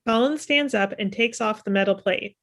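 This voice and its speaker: Female narrator for a script read, medium-pitched voice, reading stage directions over video call. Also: normal speed. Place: indoor room on a video call.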